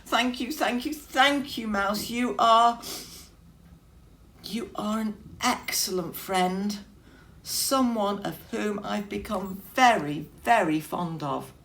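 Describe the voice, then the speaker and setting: A woman voicing a proud, easily flustered male bear in a children's storybook, booming and emphatic. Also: slow pace, fairly bright tone. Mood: grateful, excited. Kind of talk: children's storybook character reading.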